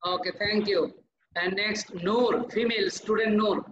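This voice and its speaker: A male teacher, calling on students one by one in an online class, slow and halting with many fillers. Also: high voice, fairly even intonation, fairly bright tone, a little hiss or hum. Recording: echoey lo-fi call audio.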